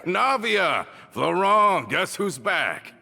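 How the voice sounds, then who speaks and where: An actor playing a rough-edged but warm-hearted ex-convict gang member in a video game, expressive and earnest. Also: male voice, high-pitched, extremely slow, full character voice. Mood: cheerful, relieved. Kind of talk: video game story voice acting. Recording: long reverb, music behind. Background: game music.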